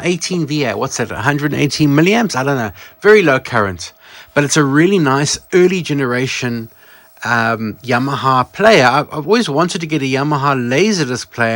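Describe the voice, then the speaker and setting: A man's voice: mid-range voice, fairly bright tone, dry recording. Man in a rambling gadget show-and-tell. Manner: rambling, self-correcting, informal. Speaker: presenter of a vintage electronics channel. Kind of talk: casual product show-and-tell.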